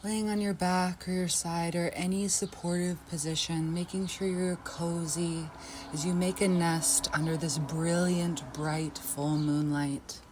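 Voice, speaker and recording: Slow, low-voiced woman guiding meditation. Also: level tone, slight background noise. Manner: soft, unhurried, invitational guiding. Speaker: yoga nidra guide. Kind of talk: guided meditation.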